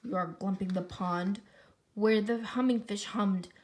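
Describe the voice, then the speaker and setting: A woman doing a grumpy, croaky little forest guardian. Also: medium pitch, steady tone, a little slow, a little mellow, pitched below the performer's own voice, close to an everyday voice. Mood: scolding. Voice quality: croaky, coughing delivery.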